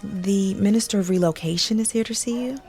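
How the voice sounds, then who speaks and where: A woman acting a brisk, polite nurse with a disdainful streak in a radio drama. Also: medium pitch, mellow, faint music behind, close to an everyday voice. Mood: polite, professional.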